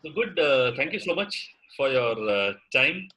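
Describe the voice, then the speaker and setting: A man's voice: fairly high pitch, sing-song, measured pace, pushed and bright. A man from India leading a coaching session on a video call, encouraging, often saying "absolutely" and "you know". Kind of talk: facilitating a group video call.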